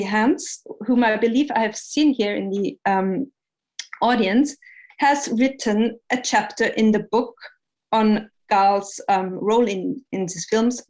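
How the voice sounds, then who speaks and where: A woman presenting an academic seminar lecture, speaking in a measured way with frequent pauses and hesitations. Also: mid-range voice, darkish tone, poor audio.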